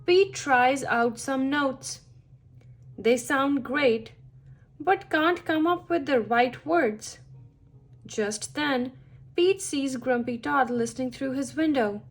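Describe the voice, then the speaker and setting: A woman reads a children's story aloud at an unhurried pace. Her voice sits fairly high, and her phrasing is simple, deliberate and expressive. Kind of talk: picture-book read-aloud for young children.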